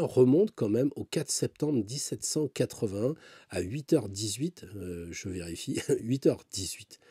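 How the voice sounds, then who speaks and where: A male astrologer talks through his reading in a loose, rambling way, full of fillers and side remarks. His voice is dark and a little husky, recorded dry with no room sound. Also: on the low side, dry recording. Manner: rambling, with many fillers and asides. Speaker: astrologer and tarot reader. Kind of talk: casual spoken commentary.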